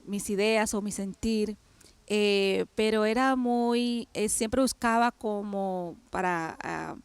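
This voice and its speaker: A woman from Cali, Colombia, with a Colombian accent, sharing her faith and childhood as a guest, speaking hesitantly with many pauses and fillers. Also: mid-range voice, clear, resonant tone, dry recording. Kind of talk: personal testimony in an interview.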